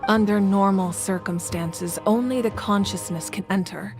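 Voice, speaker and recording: An actress plays a dignified female ruler in a fantasy game. Her mid-pitched voice is measured and commanding, with warmth and resolve, over faint music. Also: close to an everyday voice. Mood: grave, explaining. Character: composed, commanding female nation leader, warm and resolute. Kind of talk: anime video game voice acting.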